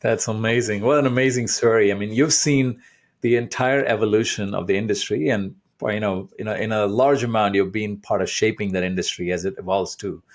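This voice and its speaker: Podcast host guy, kinda low voice, casual and really encouraging, hypes up the guest. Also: fairly even intonation, brisk. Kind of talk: casual conversational interviewing.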